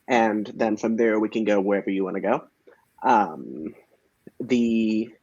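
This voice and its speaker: A man's voice: fairly low pitch, darkish tone, no echo. A man interviewing a guest over a video call, friendly and hesitant, with many fillers as he asks his questions. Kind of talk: casual oral history interview. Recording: dull, dry lo-fi video call audio.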